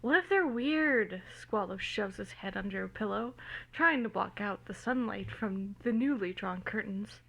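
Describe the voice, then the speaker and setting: A woman's voice: medium pitch, normal speed, creaky, fairly bright tone, poor audio. A woman giving an informal dramatic reading of a story, stumbling over words now and then, recorded on a phone. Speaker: amateur reader of fan fiction.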